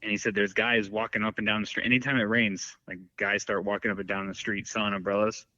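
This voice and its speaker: A man's voice: lowish voice, flat delivery, fast talker, pushed and bright, no echo. A man chatting casually as a podcast guest, telling loose stories full of fillers. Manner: rambling, filler-heavy storytelling. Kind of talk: casual chat. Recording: dull, dry lo-fi sound.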